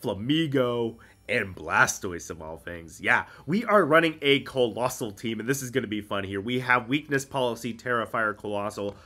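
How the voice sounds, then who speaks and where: A man's voice: mid-range voice, bright, forceful tone. Congested man explaining game strategy. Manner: chatty, explains strategy, frequent ums. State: congested from a cold. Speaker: Pokemon VGC content creator. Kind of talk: casual game commentary.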